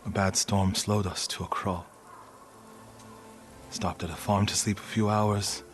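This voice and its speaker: An actor playing a solemn, earnest warrior monk of feudal Japan, grieving, in a dramatic video game cutscene. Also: male voice, lowish voice, flat delivery, unhurried, close to an everyday voice. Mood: haunted, sorrowful. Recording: background music under the dialogue.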